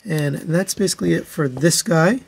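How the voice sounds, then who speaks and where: A man's voice: on the high side, moderate pace, gravelly, husky. A man giving a casual product review as a hands-on toy reviewer, talking in a methodical, matter-of-fact way.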